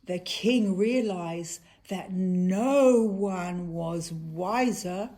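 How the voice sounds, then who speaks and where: A woman narrating a rhymed children's puppet story in an expressive, deliberate manner. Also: lowish voice.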